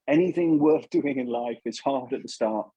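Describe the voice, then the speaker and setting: Husky, mellow Englishman chatting. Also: medium pitch, normal speed, no echo, muffled recording. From England. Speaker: puppeteer, interview guest. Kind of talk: casual interview chat giving advice.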